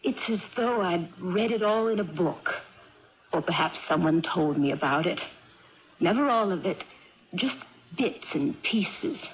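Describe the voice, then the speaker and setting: Wistful aging aunt, muffled radio drama. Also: female voice, fairly low pitch, animated, measured pace. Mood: wistful, hesitant. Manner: hesitant, pensive, homely. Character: gentle, wistful aging aunt, homely housekeeper. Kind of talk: 1980s radio drama acting. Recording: muffled, noisy vintage radio broadcast.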